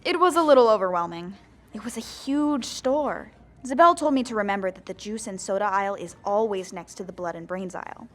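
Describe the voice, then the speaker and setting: A woman's voice: medium pitch, animated, a little fast, natural voice. A woman playing a young female zombie who narrates her own story in an earnest, plain audio drama style. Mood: wry. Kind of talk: first-person scripted narration.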